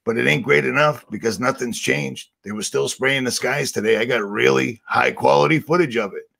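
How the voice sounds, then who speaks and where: A man with a raspy voice, ranting sarcastically and rambling on a livestream as the channel host. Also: mid-range voice, normal speed, bright, forceful tone. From Boston area. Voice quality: raspy, gravelly.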